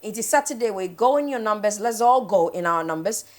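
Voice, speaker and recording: A woman's voice: medium pitch, lively intonation, brisk. Ghanaian woman chatting as a morning host. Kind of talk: casual chatty interview, code-switching between English, Pidgin and a local language.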